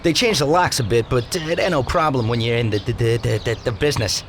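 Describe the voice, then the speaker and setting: An actor playing an eager, nervous American mob mechanic who stutters heavily, in a game cutscene. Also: male voice, mid-range voice, fast talker, a little hiss or hum, lightly acted. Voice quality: heavy stutter.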